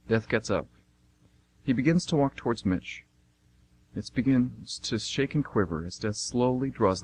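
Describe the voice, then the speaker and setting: A man playing a solemn narrator, reading a suspenseful scripted amateur radio drama. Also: fairly low pitch.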